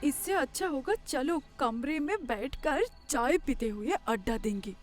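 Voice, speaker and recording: A woman's voice: high voice, animated, a little slow, soft background music. A woman voicing a timid, comfort-loving young woman in a cartoon story, with an exclamatory, complaining way of talking. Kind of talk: Hindi cartoon story voice acting.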